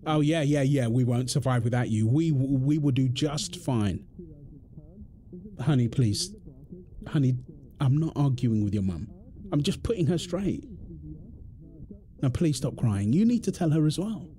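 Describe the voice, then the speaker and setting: A man's voice: medium pitch, expressive, measured pace, long pauses, mellow, close to an everyday voice. A British man acting an exasperated, protective husband in a confrontational audio roleplay drama, speaking emphatically. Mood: angry, fed up. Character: exasperated, protective husband arguing with his overbearing mother-in-law. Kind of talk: ASMR roleplay drama monologue.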